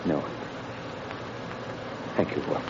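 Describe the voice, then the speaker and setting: A man playing a condemned prisoner awaiting execution, who talks in an anxious, bewildered and halting way, in 1930s radio drama style. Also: lo-fi sound, natural voice. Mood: resigned. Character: condemned young man awaiting the electric chair, desperate. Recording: scratchy, hissy 1930s radio broadcast.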